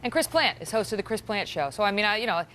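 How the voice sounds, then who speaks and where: A woman's voice: medium pitch, lively intonation, fast, a bit bright, rough recording. A woman anchoring a cable news show, introducing a report and leading a panel.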